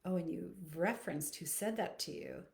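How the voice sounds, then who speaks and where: A roomy, echoing recording of a middle-aged woman with a slightly low voice. She talks conversationally, like a coach hosting a live question session. Her delivery is reflective and explanatory, aimed straight at her listeners. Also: expressive, normal speed, creaky. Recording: echoey, roomy sound.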